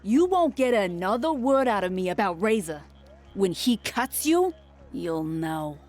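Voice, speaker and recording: A woman's voice: medium pitch, very animated, unhurried, a little mellow. A woman voicing a defiant gang militant, a cold true believer with a contemptuous manner, in a video game. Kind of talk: video game drama acting.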